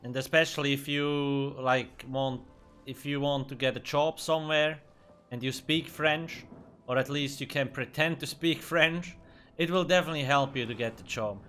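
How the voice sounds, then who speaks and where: A man's voice: mid-range voice, fairly even intonation, normal speed, pushed and bright, a little hiss or hum. A man whose first language is Swiss German, streaming a game with loose, profane commentary and muttered reactions between chat. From Switzerland. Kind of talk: casual gameplay commentary.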